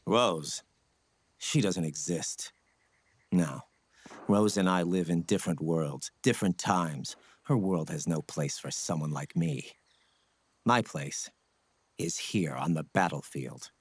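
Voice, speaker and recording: An actor playing a stoic ninja scout mentor in a video game, speaking in a grave, measured, husky voice. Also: male voice, no echo, full character voice. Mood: somber, resigned. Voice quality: low, husky. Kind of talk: dramatic video game acting.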